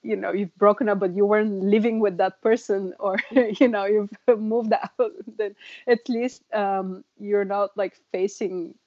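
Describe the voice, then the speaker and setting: Woman, middle-aged, from Bulgaria, reflective adviser, soft laughs, dry close sound. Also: mid-range voice, fairly even intonation, unhurried, no room sound, muffled recording. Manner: gentle, reflective, laughs softly between points. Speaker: guest adviser. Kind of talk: advice in conversation.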